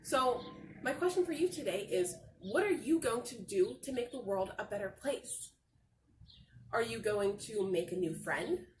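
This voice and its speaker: A woman reading a picture book aloud like a teacher, talking to young children in a simple, encouraging, expressive way with drawn-out phrases. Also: fairly high pitch. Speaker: read-aloud storyteller and teacher. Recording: roomy echo, distant mic, faint noise.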